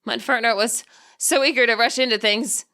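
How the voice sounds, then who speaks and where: A woman's voice: on the high side, fairly even intonation, normal speed, pushed and bright. Woman voicing a nervous, stammering middle-aged male spirit. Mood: gentle, reflective. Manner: hesitant, stammering, apologetic.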